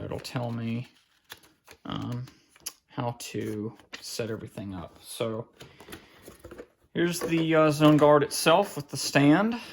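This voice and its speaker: Gravelly-voiced man casually narrating an unboxing. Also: medium pitch. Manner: halting, informal, thinking aloud as he handles things. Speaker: hobbyist collector presenting an unboxing. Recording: faint background noise, clicks of handling.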